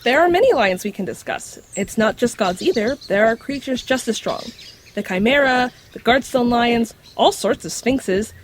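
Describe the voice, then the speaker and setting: Woman playing a high, lively, knowledgeable mythical dog. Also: moderate pace, a little hiss or hum, natural voice. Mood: wry, informative. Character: Dog, knowledgeable mythical creature in Shiba Inu form. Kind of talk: scripted audio drama acting.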